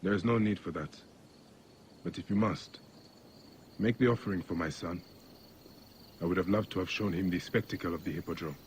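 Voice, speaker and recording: An actor playing a grim, grieving warrior protector, terse and solemn, in a game cutscene with faint ambience behind. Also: male voice, low-pitched, fairly quick, long pauses, slight background noise, natural voice. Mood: sombre. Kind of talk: video game cutscene acting.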